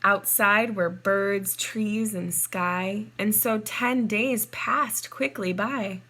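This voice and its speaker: A woman reading a children's storybook aloud in a measured, deliberate and expressive way, drawing out words. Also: mid-range voice. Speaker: children's storybook reader, teacher. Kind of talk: read-aloud storybook narration for young children.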